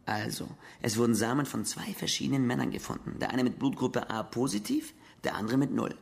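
An actor playing a gravelly, matter-of-fact police colleague giving an explanatory briefing in a German radio crime drama. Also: male voice, medium pitch, expressive, fairly quick. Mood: factual, intent.

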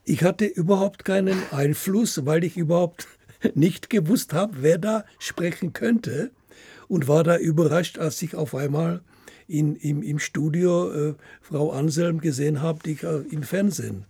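A man with a husky, gravelly voice giving interview recollections as an author, haltingly, often ending phrases with tag questions. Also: fairly high pitch, warm tone, dry recording. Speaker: author of radio plays, guest.

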